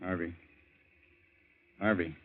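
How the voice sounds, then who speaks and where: Deep-voiced stern older doctor. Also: male voice, steady tone, slow. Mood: businesslike. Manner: brusque, commanding. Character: stern older male country doctor, brusque and unsentimental. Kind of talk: old-time radio drama acting. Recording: muffled old radio recording.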